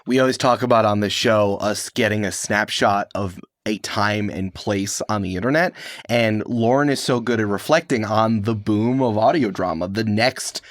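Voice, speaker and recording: A man's voice: fairly low pitch, normal speed, no room sound. Playful man, dry studio sound. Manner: playful, joking. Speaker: podcast co-host. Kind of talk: casual banter and commentary.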